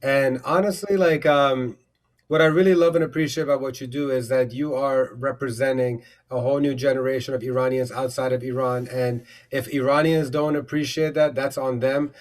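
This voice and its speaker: A man's voice: mid-range voice, steady tone, normal speed. A man hosting a livestream over a video call, talking in an effusive, rambling way with many fillers and restarts. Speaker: livestream host. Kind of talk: casual livestream chat.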